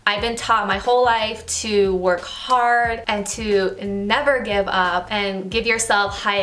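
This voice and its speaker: A young woman from Okinawa chatting to her viewers in a loose, friendly vlog style. She talks at a middling pitch and fills her sentences with easy asides and "like"s. She sounds at ease, as if talking to a friend. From Okinawa, Japan. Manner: chatty, relaxed, filler-heavy with "like" and "um". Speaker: beauty vlogger. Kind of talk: casual talk to camera.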